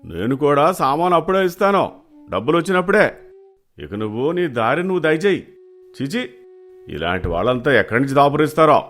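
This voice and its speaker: A man voicing a contemptuous village grocer in an animated story, gruff, dismissive and scolding. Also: fairly high pitch, a little fast, long pauses.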